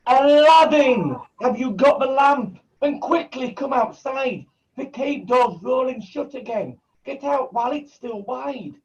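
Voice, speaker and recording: A man's voice: very high-pitched, measured pace, hardly any pauses, breathy edge, heavily acted, rough recording. A man playing a scheming, bullying sorcerer in a pantomime, commanding and melodramatic. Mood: urgent, demanding. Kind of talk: pantomime villain acting.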